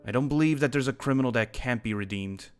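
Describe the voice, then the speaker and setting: A man's voice: mid-range voice, fast, clear, resonant tone, faint music behind, natural voice. A man playing an earnest, principled dragon slayer with a criminal past, in an improvised fantasy game roleplay. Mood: thoughtful, earnest. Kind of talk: improvised Minecraft roleplay acting.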